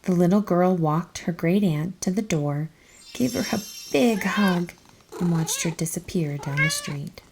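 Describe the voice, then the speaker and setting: A woman narrating a children's storybook aloud in an expressive, careful storytelling manner. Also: fairly low pitch, unhurried, noisy background.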